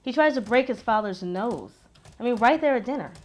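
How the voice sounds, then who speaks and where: A woman's voice: on the high side, animated, brisk, close to an everyday voice. An actress playing a critical young woman giving an appraising dramatic monologue. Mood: outraged.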